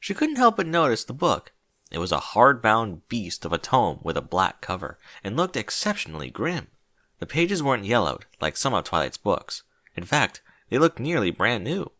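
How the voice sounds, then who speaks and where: A man reading a story aloud as narrator in an expressive, scripted storytelling style. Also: lowish voice, moderate pace, no echo, studio quality.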